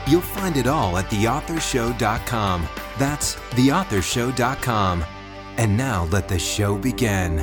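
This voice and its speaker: A male announcer reads a scripted show intro at an average pitch for a man. Plucked guitar music plays clearly behind his voice. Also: animated, measured pace.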